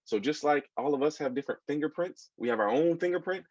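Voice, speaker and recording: A man's voice: fairly high pitch, expressive, fast, darkish tone. An American man, a children's book author giving an informal talk with slides in a conversational way, often putting questions to his listeners. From United States. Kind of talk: informal audience presentation with slides. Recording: dull, echoey room sound.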